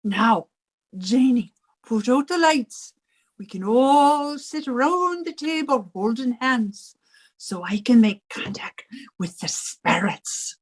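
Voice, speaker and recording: An older Irish widow who runs a boarding house in a vintage radio comedy. She is combative and melodramatic, with a very high, slow delivery that swings widely from bossy orders to wails. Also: animated, low-quality recording. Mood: bossy, insistent. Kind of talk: 1950s radio comedy acting.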